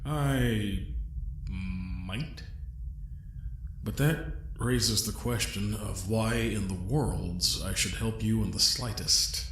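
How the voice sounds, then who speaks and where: A man playing a trapped, inquisitive young man in an audio drama, measured, dry and politely formal, with cool defiance. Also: on the low side, a bit bright. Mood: wry, defiant. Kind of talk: scripted audio drama acting. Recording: roomy echo, noticeable background noise.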